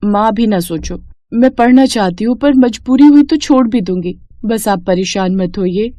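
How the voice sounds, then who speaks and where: A woman voicing a brave, dutiful fifteen-year-old village girl with a soft, polite, earnest manner in a cartoon story. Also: medium pitch, moderate pace, no echo, dull audio, lightly acted. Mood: earnest, concerned.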